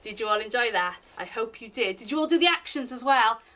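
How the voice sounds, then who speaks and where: A woman reading a children's picture book aloud in an expressive, singsong way, speaking directly to young listeners as a library storytime reader. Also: on the high side, brisk.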